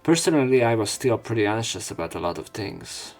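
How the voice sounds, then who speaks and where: Low-voiced man doing casual game commentary. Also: very animated, a little fast. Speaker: let's play host. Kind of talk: casual game commentary mixed with reading dialogue aloud. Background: game music.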